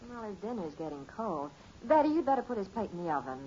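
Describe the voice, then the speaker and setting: A woman playing a gentle, practical, worried middle-aged mother in a 1950s radio sitcom. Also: mid-range voice, sing-song, fast talker, close to an everyday voice. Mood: concerned. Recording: muffled, hissy old radio recording.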